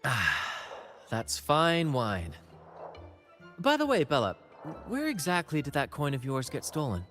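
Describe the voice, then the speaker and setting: A man's voice: mid-range voice, huge pitch swings, unhurried, fairly bright tone. An actor voicing a flamboyant, charming male performer in a video game, with game music behind him. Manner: flamboyant, grandiose. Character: swashbuckling theatrical male performer, charming and bold.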